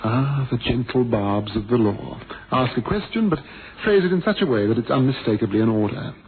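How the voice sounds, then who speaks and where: An actor playing a blind former pilot, theatrical and bitterly witty, with a wry, wordy, ironic manner, in a radio drama. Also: male voice, medium pitch, expressive, moderate pace, lightly acted. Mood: wry, teasing. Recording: noisy, thin phone-like old radio recording.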